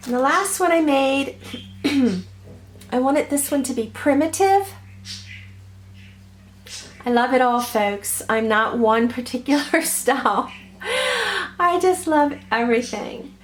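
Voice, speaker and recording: A woman with a cold, a little hoarse, chatting gently through a craft tutorial with many pauses and ums. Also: high voice, expressive, a little hiss or hum. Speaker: crafter showing her ornaments.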